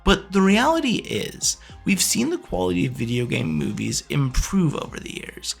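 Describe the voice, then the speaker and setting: Animated man giving scripted opinion commentary. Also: on the high side, moderate pace, creaky, breathy edge, faint music behind. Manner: poses questions and answers them himself. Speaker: video essayist and host.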